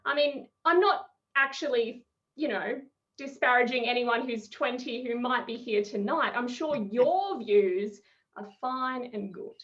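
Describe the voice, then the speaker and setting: Aussie woman around 30 doing standup on zoom, high voice, wry, muffled noisy headset sound. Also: measured pace. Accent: Australian. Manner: expressive, wry, chuckling between phrases. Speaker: stand-up comedian. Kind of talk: stand-up comedy set over video call. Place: small room at home. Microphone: headset on a video call. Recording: muffled, noisy video-call audio with clicks.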